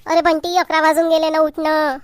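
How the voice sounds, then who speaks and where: High-voiced fussing Marathi mother acted by a woman. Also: little pitch movement, normal speed, a little hiss or hum, natural voice. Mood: nagging. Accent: Varhadi Marathi from Vidarbha. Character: Indian mother, fussing over her children, caring. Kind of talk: homemade comedy cartoon dialogue.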